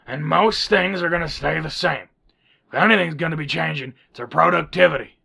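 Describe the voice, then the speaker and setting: A man playing a folksy ghoul manager, bossy but friendly, with a gravelly voice in a radio sitcom. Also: on the high side, fairly quick, bright, forceful tone, exaggerated voice. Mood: brisk, commanding.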